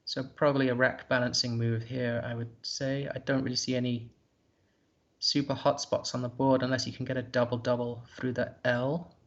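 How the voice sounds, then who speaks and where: A man's voice: medium pitch, flat delivery, normal speed, muffled recording. A man commentating a live board game, thinking aloud in a hesitant, musing way.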